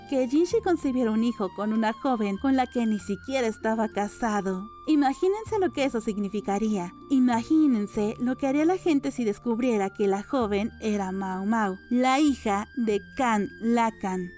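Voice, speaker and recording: Mellow woman narrating a novel over music. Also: a little high. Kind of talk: scripted audiobook narration. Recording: music under the voice. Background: background music.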